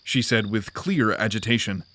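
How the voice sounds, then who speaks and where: A man narrating a scripted fantasy audio drama in a deliberate, grave storytelling manner. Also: on the low side, fairly bright tone.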